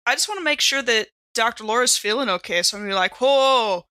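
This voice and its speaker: A woman's voice: a little high, expressive, very fast, bright, forceful tone. A woman playing a tabletop roleplaying game, chatting casually about the scene and her character.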